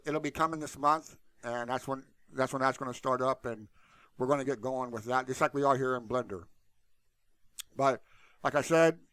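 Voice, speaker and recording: A man's voice: medium pitch, fairly bright tone, dry recording. A man hosting a tutorial channel, talking casually and off the cuff in a blunt, rambling, colloquial way into a close mic. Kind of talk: casual unscripted screen-share talk.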